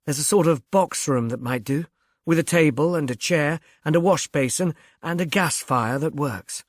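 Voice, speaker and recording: A man's voice: fairly high pitch, moderate pace, no echo, clean studio sound, natural voice. A man voicing a society mother in a storybook, airy and gracious with an affected, genteel manner. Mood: gracious, brisk.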